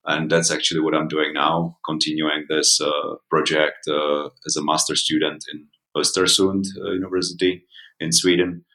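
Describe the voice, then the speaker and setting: Male guest coach, Czech accent, very low, flat-pitched delivery, conversational and hesitant. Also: normal speed. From Czech Republic. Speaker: mountain coach and podcast guest. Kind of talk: conversational interview answers.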